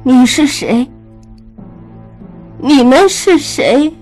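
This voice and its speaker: A male storyteller voicing a heartbroken, dazed young lady from a wealthy family, in a high falsetto. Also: expressive, drawn-out words, a little mellow, faint music behind, full character voice.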